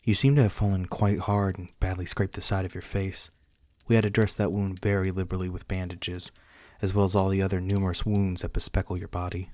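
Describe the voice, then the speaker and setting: A man playing a reclusive, protective vampire lord in an ASMR roleplay, speaking formally and courteously in measured words. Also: deep voice, flat delivery, a little mellow, natural voice. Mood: courteous, soothing. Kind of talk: ASMR roleplay acting, addressing the listener.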